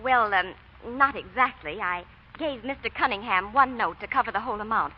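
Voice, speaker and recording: A woman's voice: fairly high pitch, moderate pace, a little hiss or hum, natural voice. An actress playing a genteel, easily flustered society lady in old-time radio style. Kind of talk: 1940s radio acting. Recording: dull vintage radio recording.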